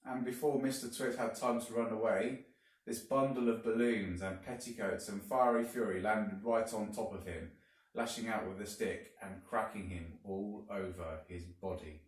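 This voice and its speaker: A man reading a children's story aloud like a teacher, deliberately and with dramatic emphasis. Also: on the low side, fairly even intonation. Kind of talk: expressive storybook narration. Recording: very echoey room, distant mic.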